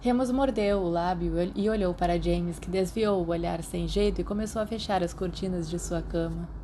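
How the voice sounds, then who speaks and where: A woman with a Brazilian Portuguese accent narrating fanfiction, calm in the story and chatty in her notes. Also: on the low side, moderate pace, a little hiss or hum. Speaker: podfic reader.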